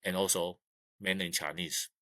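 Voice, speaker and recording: An older man, speaking English as an in a halting interview style full of restarts, on a video call. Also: low voice, steady tone, bright, forceful tone, no echo. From Taiwan. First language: Mandarin. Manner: halting, repeats words, many uh fillers. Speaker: guest, retired engineer. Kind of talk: casual interview. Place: indoor room.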